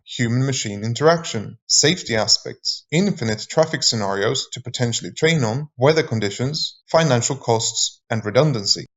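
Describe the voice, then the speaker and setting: A man narrating a scripted explainer as a project presenter, in a measured, formal way. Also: medium pitch.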